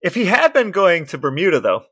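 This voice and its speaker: A man co-hosting a podcast, telling a story in a lively, wry way in casual chat. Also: sits high, a little fast. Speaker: podcast co-host.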